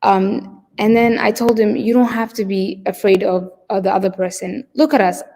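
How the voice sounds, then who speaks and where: Woman, keynote storyteller; halting, filler-heavy delivery; long reverberant hall sound. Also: mid-range voice, fairly even intonation, creaky, mellow, a little hiss or hum. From Somalia. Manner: hesitant, many fillers and restarts. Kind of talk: personal storytelling.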